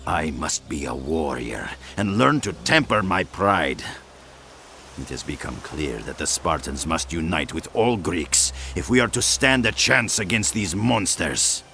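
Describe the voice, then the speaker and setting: A man voicing a proud, battle-weary Spartan warrior in a fantasy game, gravelly and declamatory. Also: low voice, lively intonation, unhurried, pushed and bright, a little hiss or hum, heavily acted. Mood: grave, resolute. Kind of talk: scripted fantasy game acting.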